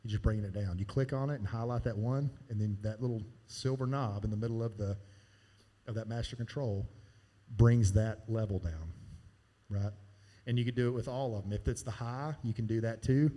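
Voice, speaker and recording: A man walks a church team through its sound board in a loose, folksy way, explaining as he works the controls. His voice is mellow and dark, and it carries a long reverb from a live church sanctuary. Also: fairly low pitch, a little fast. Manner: casual, folksy, talks while demonstrating. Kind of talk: hands-on sound board training.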